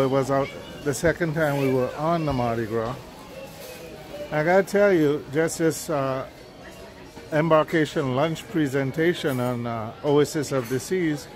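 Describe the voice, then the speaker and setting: A man giving casual, halting walk-through commentary as a vlogger, observing what is around him. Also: on the high side. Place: large busy cruise ship buffet hall. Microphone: handheld camera or phone. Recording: background music and loud dining crowd. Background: music and crowd chatter.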